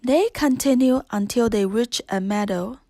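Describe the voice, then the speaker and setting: A woman reading a children's read-along storybook as narrator, in an expressive storytelling manner. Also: on the high side, unhurried, no room sound, clean studio sound.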